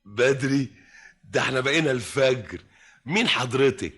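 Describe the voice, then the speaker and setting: An actor playing a meek, bumbling male office clerk in an old Egyptian radio comedy, stammering and animated with an Egyptian accent. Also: medium pitch, moderate pace, slightly rough. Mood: puzzled, eager.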